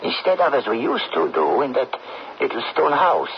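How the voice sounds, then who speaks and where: An actor playing a formal, brooding dead General in an old-time radio drama, speaking deliberately with a gravelly voice. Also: male voice, mid-range voice, sing-song. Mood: weary, brooding. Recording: muffled, noisy old radio broadcast.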